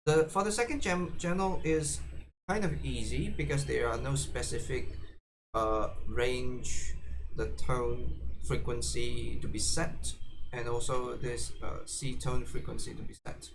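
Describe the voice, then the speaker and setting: Slow, halting man in a noisy recording. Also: medium pitch, steady tone. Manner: halting, often repeats and restarts phrases. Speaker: tutorial presenter. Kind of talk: casual unscripted how-to demonstration. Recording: clearly noisy background ambience.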